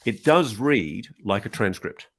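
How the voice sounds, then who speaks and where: A man co-hosting a podcast, discussing a document conversationally with deliberate, emphatic phrasing. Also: lowish voice, lively intonation, dry recording, studio quality. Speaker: podcast co-host.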